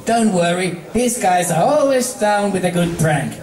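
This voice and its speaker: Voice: mid-range voice, animated, a little slow, darkish tone, reverberant, voice pushed up, natural voice. A performer voicing a brash, cocky young female pegasus prankster pony with an enthusiastic, confident manner in a story reading. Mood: encouraging.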